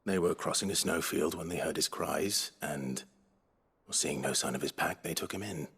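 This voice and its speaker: An actor with an English accent playing a brooding, dutiful fantasy game hero, measured and earnest. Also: male voice, extremely low pitch, a bit bright, slightly rough, exaggerated voice. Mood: solemn. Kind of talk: video game drama acting.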